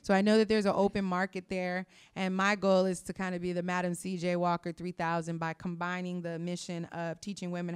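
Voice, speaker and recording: A woman's voice: on the low side, smooth. A woman from California, a hip hop artist and hairstylist, talking passionately at length as an interview guest, with fillers. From Sacramento, California. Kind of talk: casual interview talk.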